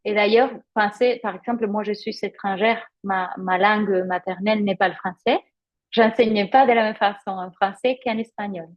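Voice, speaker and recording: A woman with a Spanish accent, a native Spanish speaker, teaching conversationally in a live webinar as a yoga teacher trainer, explaining with personal examples and pausing to find her words. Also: medium pitch, steady tone, highs cut off.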